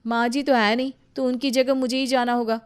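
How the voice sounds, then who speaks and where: A crafty elder sister-in-law in a cartoon family tale, voiced by a woman, pitched high. She is breezy and wheedling, dodging chores while bossing the younger wife around. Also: level tone, fairly quick, clean tone, natural voice. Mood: bossy, evasive. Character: sly, shirking, bossy elder sister-in-law.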